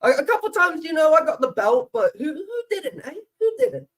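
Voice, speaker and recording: A man's voice: extremely high pitch, very animated, brisk, fairly bright tone. A man in his twenties from Poland, chatting casually as a guest, rambling and swearing easily. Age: 20s. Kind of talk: casual chat.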